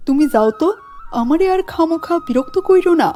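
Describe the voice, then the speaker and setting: A woman's voice: sits high, expressive, fairly quick, dark tone, faint music behind. A woman voicing a stubborn, grumpy older Bangladeshi village man in a children's cartoon, with rustic, colloquial speech. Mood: irritated, dismissive.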